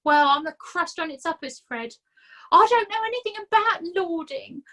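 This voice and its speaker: A woman's voice: extremely high pitch, animated, moderate pace, pushed and bright, pitched above the performer's own voice, exaggerated voice. A woman voicing a scrawny, put-upon male corporal who whines and pities himself. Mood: woeful. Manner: whiny and self-pitying.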